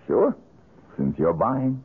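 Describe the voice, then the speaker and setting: Low, husky, bitter painter. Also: male voice, huge pitch swings, slow, heavily acted. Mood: weary, guarded. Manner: curt, sardonic, weary. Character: bitter, world-weary male painter, guarded and cynical. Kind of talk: 1970s radio drama acting. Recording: muffled, narrow-band 1970s radio recording.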